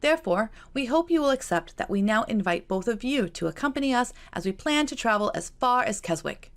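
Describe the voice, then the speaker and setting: A woman voicing an affectionate, genteel Regency aunt in a formal, measured audiobook letter. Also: a little high, lively intonation, voice pushed up.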